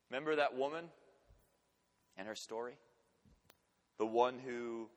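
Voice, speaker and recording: A man preaching a sermon with emphatic delivery, swinging between urgent loud passages and quiet, intimate ones. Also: medium pitch. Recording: long hall reverb.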